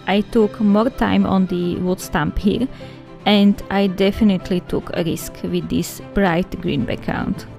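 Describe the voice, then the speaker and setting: A woman's voice: medium pitch, steady tone, measured pace, vocal fry, a little mellow, soft background music. Slovak woman chatting through her sketchbook. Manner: friendly, chatty, addresses viewers as guys. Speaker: art vlogger. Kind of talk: casual sketchbook flip-through narration.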